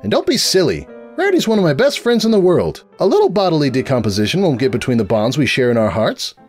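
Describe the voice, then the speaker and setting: A man's voice: fairly high pitch, huge pitch swings, fast talker, darkish tone, faint music behind, pitched above the performer's own voice. A man voicing a young female undead pony sorceress, stubbornly optimistic, eager and breezy in a comic storybook reading. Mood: earnest. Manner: eager, breezy, brushing off problems.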